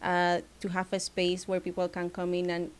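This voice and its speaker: A woman from Venezuela with a Venezuelan Spanish accent, a studio founder speaking as a guest in a casual interview, relaxed and loose, with lots of "like" fillers. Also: on the low side, normal speed, clear, resonant tone. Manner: relaxed, many "like" fillers, plain non-native phrasing. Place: small podcast studio.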